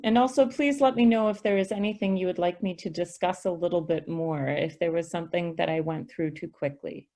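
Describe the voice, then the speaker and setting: A woman's voice: lowish voice, fairly quick, few pauses, warm tone. A woman, a school district representative answering questions on an online video call in a patient, explanatory way. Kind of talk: webinar Q&A, reading and answering questions.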